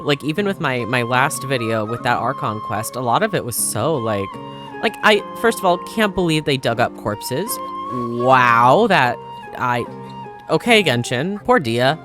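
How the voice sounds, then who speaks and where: Low-pitched, animated woman gamer. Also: unhurried. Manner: expressive, slangy, conversational. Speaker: gaming video host. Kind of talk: casual reaction commentary. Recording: game music behind the voice.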